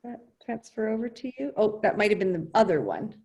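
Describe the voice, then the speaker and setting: A woman hosting an online panel on a video call, friendly and brisk as she introduces presenters. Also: mid-range voice, sing-song, darkish tone. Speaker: event host.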